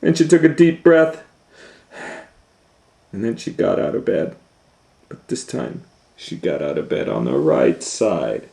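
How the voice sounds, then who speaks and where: A man reading a children's storybook aloud as host, in an animated storytelling style. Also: low-pitched, unhurried, creaky, long pauses. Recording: roomy echo.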